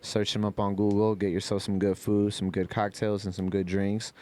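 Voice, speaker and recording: A man's voice: lowish voice, level tone, normal speed, dark tone. A man with an American accent talking casually and slangily as a podcast host. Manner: slangy, informal. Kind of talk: casual chat and sponsor shout-outs.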